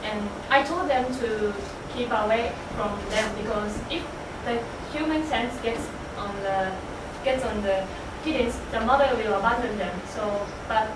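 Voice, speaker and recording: A young woman speaking in a rehearsed classroom role play, haltingly and repeating phrases. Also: a little high. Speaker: student presenter in role play. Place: indoor room, recorded at a distance. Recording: distant mic, echoey room, loud noise.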